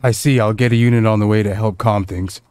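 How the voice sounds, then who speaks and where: A man's voice: lowish voice, fairly even intonation, quick pace, darkish tone, clear, resonant tone, dry recording. A man streaming a game, reading a police dispatcher's lines aloud.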